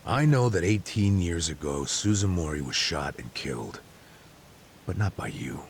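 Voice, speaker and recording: An actor playing an aging detective near retirement, sly and persistent, with a gravelly, teasing manner. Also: male voice, low-pitched, sing-song, normal speed, slight background noise, exaggerated voice. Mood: prying. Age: older. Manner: teasing, chuckling, prying. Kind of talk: dubbed game cutscene acting.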